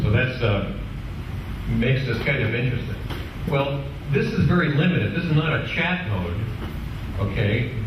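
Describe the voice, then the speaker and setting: A man's voice: medium pitch, muffled recording. Man presenting in a very echoey room. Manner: halting, explanatory, with rhetorical questions and asides. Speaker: presenter at an amateur radio club meeting. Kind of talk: informal technical presentation. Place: indoor club meeting room. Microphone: distant microphone. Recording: very echoey room, distant mic, noisy.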